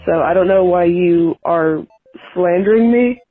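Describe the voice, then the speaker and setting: A man leaving a calm, deadpan voicemail complaint to a call-in show host. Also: high-pitched, measured pace, no room sound, faint music behind. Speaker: regular caller. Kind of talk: mock-offended voicemail. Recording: tinny phone-line voicemail.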